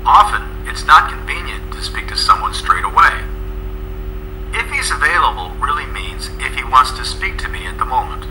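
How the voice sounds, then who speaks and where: A man narrating a scripted English lesson in a measured, explanatory way, as a presenter. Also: mid-range voice, big pitch swings, bright, forceful tone, a little hiss or hum, poor audio.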